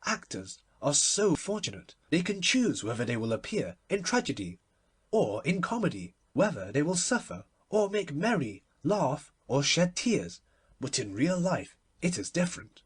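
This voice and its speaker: A man reading literary fiction as an audiobook narrator, expressive and dramatic. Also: a little high, moderate pace, slightly rough.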